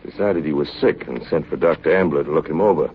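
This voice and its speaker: Authoritative naval officer, very low voice, grave clipped delivery, polar radio play, dull vintage recording. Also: male voice, quick pace. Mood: grave, weary. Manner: grave, clipped log-style narration, firm in dialogue. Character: steady, authoritative ship's chief officer narrating polar ordeal. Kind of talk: 1930s radio drama acting. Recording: dull, lightly noisy 1930s radio recording.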